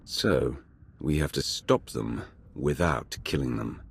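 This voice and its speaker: Very deep, stern Sith warrior. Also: male voice, slow, gravelly, breathy edge, heavily acted. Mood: cold, commanding. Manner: cold, commanding, formal. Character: stern male Sith warrior, the Emperor's former enforcer. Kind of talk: video game cutscene acting.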